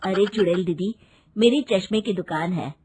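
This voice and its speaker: A woman's voice: medium pitch, moderate pace, warm tone, slightly rough. A woman voicing a confident village spectacle seller, a man, in a cartoon story with a persuasive, reassuring manner. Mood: coaxing.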